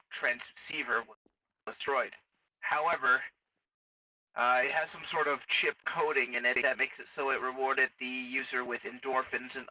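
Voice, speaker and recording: A man's voice: mid-range voice, pushed and bright, no echo, far from the mic, poor audio. A man playing a stern technical officer in an online game roleplay, explaining things in a matter-of-fact, clinical way.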